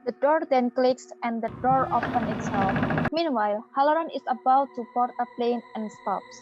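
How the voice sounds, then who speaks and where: Young woman narrator for scripted horror drama: high voice, dull recording with faint noise. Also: moderate pace. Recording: muffled, noisy home-style audio.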